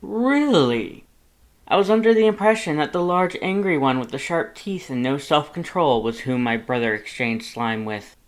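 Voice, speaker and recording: A vain male skeleton, as performed by a story reader, pitched high and projected loudly. He speaks with pompous formality and theatrical false sweetness, like the grand star of an audiobook fantasy. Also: lively intonation, moderate pace, lightly acted. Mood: politely probing.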